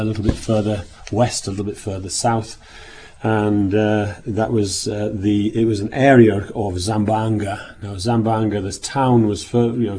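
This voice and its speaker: A man giving a sermon in a meeting room, speaking hoarsely as he nearly loses his voice, rambling with many restarts. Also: lowish voice, dark tone. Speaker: minister. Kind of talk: sermon opening with travel account.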